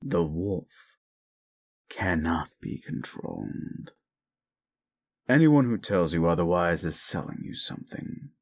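Casting: male actor for jaded, grieving werewolf in an audio roleplay, slow gravelly delivery, cynical lecturer. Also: deep voice, big pitch swings, heavily acted. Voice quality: gruff, gravelly. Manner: cynical, scolding, deliberate storytelling. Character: bitter, grieving, gruff adult male werewolf. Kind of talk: audio roleplay drama acting.

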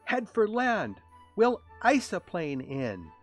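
A man voicing an earnest, excitable young male reindeer in a children's storybook, given to exclaiming. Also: mid-range voice, normal speed, faint music behind. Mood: urgent.

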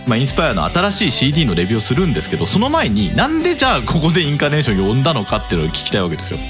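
A man's voice: medium pitch, wildly swooping pitch, very fast, music in the background. A man in his thirties co-hosting a casual radio chat as a reviewer. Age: 30s. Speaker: podcast co-host, music reviewer.

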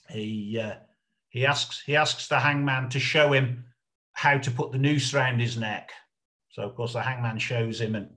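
Slow-paced, halting male lecturer. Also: medium pitch, bright, forceful tone. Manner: halting, hesitant, explanatory. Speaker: lecturer, researcher presenting a talk. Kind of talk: informal illustrated history lecture. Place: indoors, on a video call.

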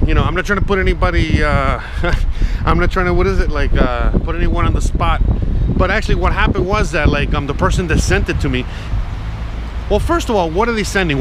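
A man in his thirties talks in a loose, rambling way, stacking fillers as he walks. His voice sits a little high. Traffic and wind roar loudly around the handheld camera mic outdoors. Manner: rambling and chatty, frequent fillers. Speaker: vlogger. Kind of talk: casual walking vlog. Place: outdoors on a sunny city street. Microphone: action camera held at arm's length. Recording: loud street and wind noise.

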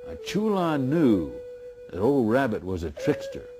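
A middle-aged man telling a folk tale in a folksy, deliberate way with dramatic pauses. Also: medium pitch, huge pitch swings, over music. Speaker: storyteller. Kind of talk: oral folk tale storytelling.

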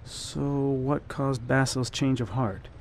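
An actor playing a world-wise, protective barkeep, blunt and slangy, in an old-time radio noir style. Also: male voice, medium pitch, steady tone, takes it slowly, slight background noise. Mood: probing, concerned.

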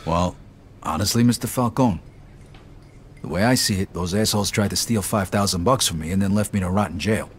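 An actor playing a tough young American mafia soldier in a video game, laconic and blunt. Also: male voice, sits low, a little fast, lightly acted. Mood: cool, respectful. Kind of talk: video game cutscene acting. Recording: clear background noise and ambience.

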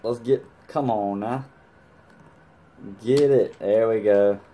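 A man's voice: lowish voice, slow, mellow. A man giving a casual, hands-on vlog tutorial, talking colloquially with playful sound-effect interjections. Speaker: motorcycle vlogger demonstrating gear.

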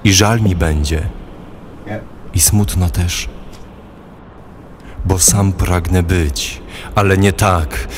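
Male actor as bitter pained loner, low voice, extremely slow halting verse, audio drama, faint background noise. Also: steady tone. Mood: brooding, pained. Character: bitter, pained loner longing to escape confinement. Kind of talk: dramatic poetry recitation in an audio play.

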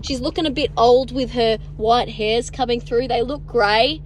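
A woman's voice: on the high side, a little slow, a little hiss or hum, low-quality recording. A young Australian woman on her farm, chatting casually to a phone camera in her ute and out in the paddocks, blunt and self-amused, swearing freely. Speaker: farmer vlogger. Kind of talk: casual vlog chat. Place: inside a ute, then outdoors in open paddocks. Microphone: phone camera held at arm's length.

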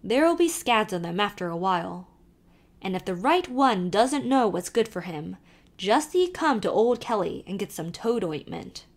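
Storybook part: a woman playing a jolly old Irish peddler man, sly brogue, lively swooping delivery. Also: a little high, a little slow, voice pushed up. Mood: teasing. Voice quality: thick brogue, sly, teasing.

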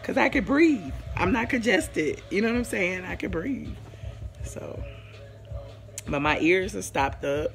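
A hoarse woman in her forties, chatting casually on a live stream from her living room, rambling and greeting viewers by name, with a phone held at arm's length. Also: lowish voice, expressive, measured pace, soft background music. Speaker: livestream host. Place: living room at home, indoors.

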